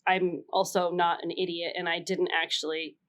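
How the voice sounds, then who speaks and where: A woman casually telling a personal story as a panelist, stressing words in an animated way. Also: on the low side, moderate pace. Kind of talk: casual anecdote on a comedy game podcast.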